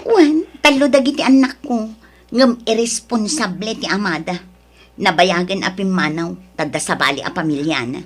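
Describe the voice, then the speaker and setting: A headstrong young mother played by a woman in an audio drama. She is scornful and demanding with her lover, challenging him in a mid-pitched voice and quick to scold. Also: lightly acted. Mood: guarded.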